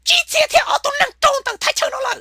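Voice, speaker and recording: A woman voicing an excitable, shrill comic cartoon character with a squeaky, creaky voice, prone to bursting into shouts. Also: fast, heavily acted. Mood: agitated, shouting.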